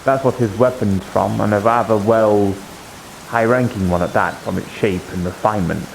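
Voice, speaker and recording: A man playing a cautious, reserved, learned character in an improvised fantasy roleplay, gravelly, with a measured, hesitant delivery. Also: fairly low pitch, steady tone, a little hiss or hum, rough recording. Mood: thoughtful, explaining.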